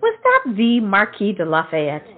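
A woman's voice: mid-range voice, huge pitch swings, a little slow. A woman playing a breezy, dismissive young society lady gossiping in a comic period audio drama. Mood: bright. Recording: muffled, tinny phone-like sound.

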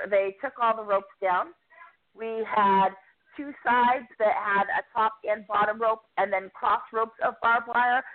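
A woman reporter giving a chatty, enthusiastic recap of a wrestling show, quick to poke fun at herself. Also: medium pitch. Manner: chatty, enthusiastic, self-deprecating. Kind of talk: casual podcast recap. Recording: tinny phone line.

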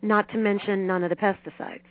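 A woman's voice: mid-range voice, level tone, a little fast. A middle-aged woman giving conversational advice as an expert guest in an interview. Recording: dry, tinny phone line.

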